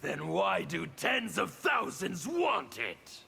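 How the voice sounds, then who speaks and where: An actor playing a fanatical, menacing anarchist warlord in a fighting game, with a gravelly voice and theatrical defiance. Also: male voice, sits high, big pitch swings, slow pace, sharp tone, soft background music, exaggerated voice. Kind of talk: fighting game character dialogue.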